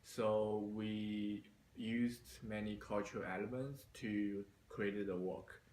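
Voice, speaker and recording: A man from China, a university student, answering interview questions slowly with many hesitations. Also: lowish voice, fairly even intonation, darkish tone, roomy sound, a little hiss or hum. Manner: slow, hesitant, many fillers.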